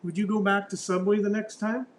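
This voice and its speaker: A man hosting a casual morning news talk show, loud and chatty, posing rhetorical questions. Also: high-pitched, flat delivery, brisk. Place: radio studio. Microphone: studio mic close up.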